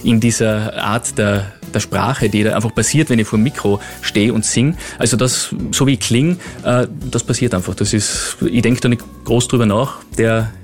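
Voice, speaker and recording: A man's voice: fairly low pitch, steady tone, normal speed, few pauses, soft background music. A young man from Carinthia with an Austrian accent, chatting casually as a musician guest in a radio studio. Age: young adult. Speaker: singer-songwriter guest. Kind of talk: casual radio interview chat.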